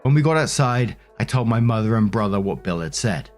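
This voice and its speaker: A man's voice: on the low side, animated, fairly quick, soft background music. A man hosting and narrating online stories, mixing scripted reading with casual spoken commentary.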